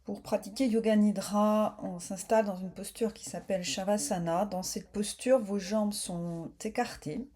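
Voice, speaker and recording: Mellow-voiced woman guiding deep relaxation. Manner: calm, soothing, unhurried. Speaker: yoga nidra teacher. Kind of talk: guided relaxation, slow spoken instruction.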